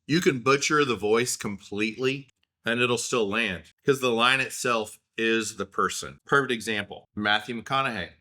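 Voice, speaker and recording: A man's voice: medium pitch, moderate pace, bright, forceful tone. A man in his thirties with an Oklahoma accent, telling rambling, self-deprecating stories in a casual solo talk.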